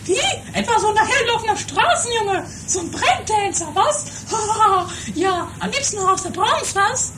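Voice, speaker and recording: A woman playing a haughty, money-minded, melodramatic rich mother in an improvised trash comedy radio play, theatrical and halting. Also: very high-pitched, animated. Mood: haughty, indignant. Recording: noisy lo-fi 1980s amateur radio recording.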